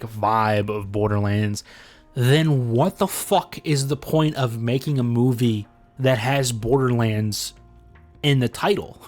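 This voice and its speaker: A man's voice: mid-range voice, darkish tone. A man giving casual, opinionated video commentary in a blunt, sarcastic, heavily profane way. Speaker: video essay host.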